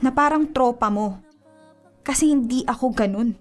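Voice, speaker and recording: Radio drama girlfriend , played by a woman: young, high voice, fed up, emphatic and accusing. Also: moderate pace, lightly acted. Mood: frustrated, arguing. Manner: reproachful, emphatic. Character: hurt, fed-up young woman quarreling with her boyfriend. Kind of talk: radio drama acting in Tagalog. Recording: music behind the dialogue. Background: music.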